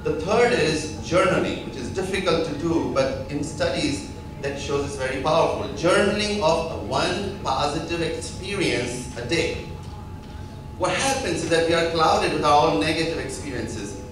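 A middle-aged man giving an animated motivational talk at a medical seminar, speaking into a handheld microphone in a large auditorium. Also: on the high side, normal speed, far from the mic. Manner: animated, emphatic, counting off points. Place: large auditorium with seated audience. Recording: echoey hall, filmed from afar with noise.

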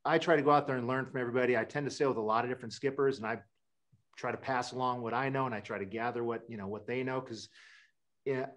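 A man's voice: medium pitch, steady tone, quick pace, a bit bright. A middle-aged man from Wisconsin, a sailor answering interview questions in a loose, rambling way with many fillers and restarts, over a webcam. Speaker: guest sailor. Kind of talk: casual interview talk. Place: indoor room.

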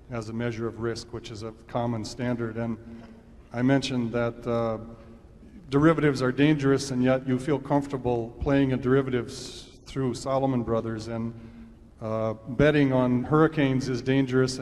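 A man in the audience asking a long prepared question, deliberate and pausing with fillers. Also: mid-range voice, a little mellow. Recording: reverberant hall.

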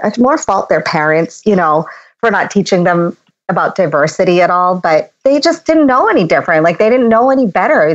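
A woman's voice: medium pitch, lo-fi sound. A woman in her forties from Boston, chatting candidly as a podcast guest, laughing often and acting out bits of dialogue. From Boston, USA. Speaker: interview guest, interior designer. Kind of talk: casual candid chat.

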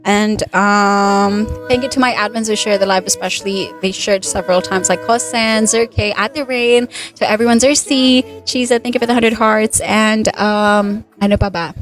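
A young woman from the Philippines with a Filipino accent, slightly hoarse, chatting quickly to viewers into a handheld mic during a home livestream. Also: medium pitch, fairly even intonation, soft background music, rough recording. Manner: quick, chatty, mixes in Tagalog words. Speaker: livestream singer and host. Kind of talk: casual livestream chat between songs. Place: living room indoors. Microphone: handheld microphone.

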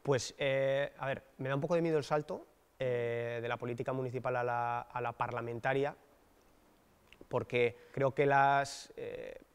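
A man in his thirties, a political candidate, giving thoughtful, hesitant interview answers with many fillers and restarts on a dark studio set. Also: medium pitch, fairly even intonation, unhurried, clean tone, in a large hall. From Basque Country, Spain.

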